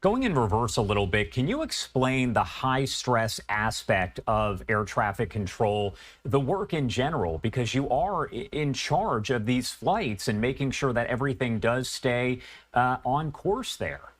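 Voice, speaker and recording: Male news anchor, steady and conversational, mid-range voice. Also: medium pitch. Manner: measured, conversational questioning. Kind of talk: live news interview.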